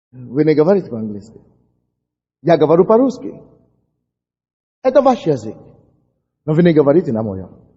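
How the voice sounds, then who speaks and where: High, impassioned pastor in an echoing hall. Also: male voice, slightly rough. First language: English. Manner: impassioned, shouting prayers, stumbling over words. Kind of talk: fiery sermon. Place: church hall. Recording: dull recording in a large echoing hall.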